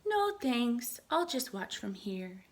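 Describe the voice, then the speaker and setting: A woman's voice: fairly high pitch, big pitch swings, takes it slowly, full character voice. A woman voicing a tiny, sweet, polite little girl cloud in a storybook read-aloud. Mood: shy, polite.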